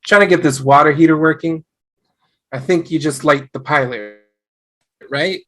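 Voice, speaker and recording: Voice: mid-range voice, normal speed, fairly bright tone, natural voice. Someone playing a skeptical, frustrated young husband in a conversational table read over a video call. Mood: stubborn, casual.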